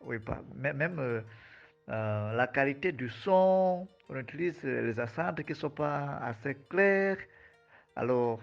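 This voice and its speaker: A man from Malawi speaks slowly and hesitantly, pausing often on fillers as he answers an interviewer. His voice has a gravelly edge. The recording is dull and lo-fi, with faint music behind him. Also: medium pitch, expressive. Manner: hesitant, many fillers and restarts. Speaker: French teacher, guest.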